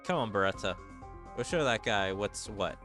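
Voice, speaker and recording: A man's voice: on the low side, animated, moderate pace, music in the background, close to an everyday voice. A man voicing a proud, boastful little demon lord girl from an anime game.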